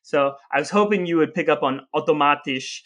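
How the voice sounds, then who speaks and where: Playful, higher-voiced man hosting casual banter. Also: fairly quick, fairly bright tone. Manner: joking, teasing. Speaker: podcast host, playful quizmaster. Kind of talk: casual banter between brothers.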